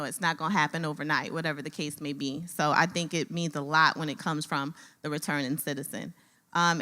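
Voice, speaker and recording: A woman from a nonprofit giving a conversational comment at a conference, with a loud voice and frequent filler words. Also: low voice. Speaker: nonprofit staffer. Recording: reverberant conference hall.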